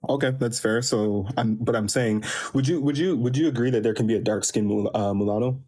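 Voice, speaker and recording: A man's voice: mid-range voice, steady tone, brisk, dark tone, faint music behind. A man in a casual live discussion, hesitant and repeating himself as he argues a point. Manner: hesitant, repeats words when challenged. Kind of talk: casual debate.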